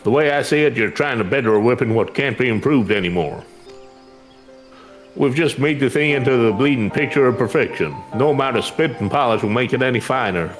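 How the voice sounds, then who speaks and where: A man's voice: mid-range voice, lively intonation, brisk, soft background music, natural voice. A man voicing a brash, boastful master weaponsmith in a fantasy game, with blunt, sardonic, rustic slangy speech. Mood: cocky, teasing. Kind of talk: fantasy game dialogue read aloud.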